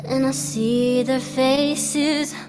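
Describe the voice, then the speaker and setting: A woman's voice: high voice, fairly even intonation, drawn-out words. A woman singing in a loose, improvised style as a musician in a live performance. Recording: loud instrumental music around the voice. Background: loud live music.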